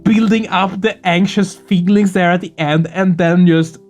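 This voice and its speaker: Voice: mid-range voice, steady tone, a little slow, darkish tone, soft background music. A gaming video host chatting casually and effusively, earnest and prone to swearing. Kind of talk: casual excited chat after a game playthrough.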